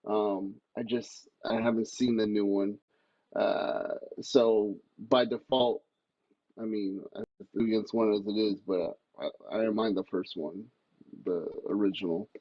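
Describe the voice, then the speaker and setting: A man's voice: lowish voice, steady tone, unhurried, dry recording. A man chatting casually as a podcast panelist in a blunt, salty, informal way, on a slightly muffled recording. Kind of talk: casual chat. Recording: slightly dull, muffled mic sound.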